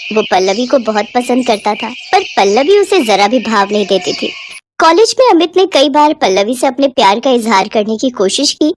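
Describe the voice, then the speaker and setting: A woman's voice: on the high side, noisy background. A woman reading a scripted family story as a storyteller.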